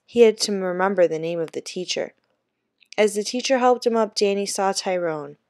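A woman's voice: medium pitch, normal speed, darkish tone, no echo, clean studio sound. A woman reading a children's book aloud expressively, like a teacher with a class text.

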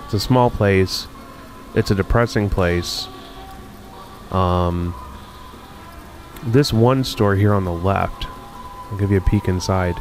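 A man with a fairly low voice narrates a tour in a relaxed, wandering way, pausing often with ums and uhs. Faint music plays underneath him. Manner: unhurried, musing, many ums and uhs. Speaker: video host touring a closed-down mall. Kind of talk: casual walkthrough narration.

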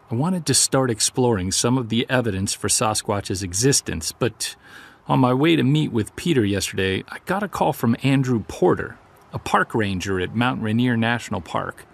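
An actor playing an earnest, wry Sasquatch investigator who records a conversational field journal for an audio drama. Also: male voice, mid-range voice, gravelly.

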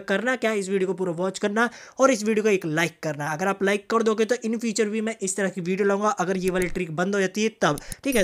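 A young man hosts a tech tutorial in a notably high voice. He speaks at a rapid clip, casually guiding viewers through the steps. His manner is informal and chatty. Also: fairly bright tone. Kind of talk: casual how-to narration.

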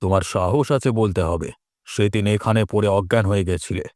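A man's voice: fairly low pitch, fairly quick, a little mellow, no room sound, studio quality, lightly acted. A man voicing a scheming, menacing village bigwig in a cartoon, stern and self-important. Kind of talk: dubbed cartoon dialogue.

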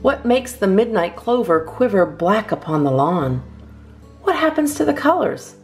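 A woman's voice: mid-range voice, sing-song, a little slow, faint music behind. A woman hosting a storytime, reading children's poems aloud expressively with theatrical emphasis. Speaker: storytime host reading a picture book.

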